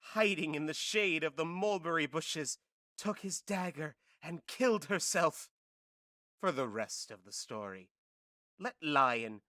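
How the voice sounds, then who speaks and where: A man's voice: a little high, sharp tone, no echo, studio quality, full character voice. A man playing an earnest amateur actor who recites a prologue in a theatrical, declamatory way for an audio drama. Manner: overblown, declamatory.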